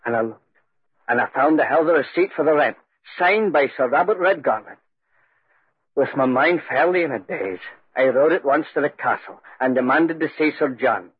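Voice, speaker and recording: A man playing an awed but plucky Scottish piper, earnest and husky, in 1940s radio style. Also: mid-range voice, lively intonation, moderate pace. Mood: dazed storytelling. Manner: earnest, respectful, anxious. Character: awed, plucky Scottish piper telling his ghostly tale. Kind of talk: 1940s radio acting with storytelling. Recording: muffled 1940s radio recording.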